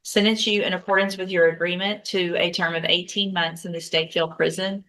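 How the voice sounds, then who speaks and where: A woman serving as judge in a courtroom, speaking in a measured, formal, matter-of-fact way. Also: on the low side, fairly even intonation, fairly bright tone, rough recording. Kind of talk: courtroom proceedings.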